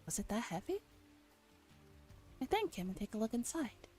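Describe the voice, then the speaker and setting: A young woman streamer with a soft, breathy voice, reading a visual novel aloud in ASMR style and chatting playfully in casual slang. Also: fairly high pitch, very animated, moderate pace. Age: young adult. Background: game music.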